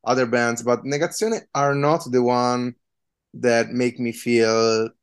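A man's voice: medium pitch, steady tone, slow pace, clear, resonant tone. A man with an Italian accent, in his thirties, a punk musician answering interview questions in a hesitant, casual way with many fillers. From Italy.

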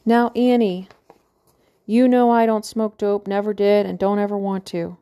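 A woman's voice: medium pitch, flat delivery, a little slow, mellow, no room sound, lightly acted. A woman voicing a weary middle-aged man who jokes dryly on the phone. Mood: wry. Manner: dry, joking, evasive.

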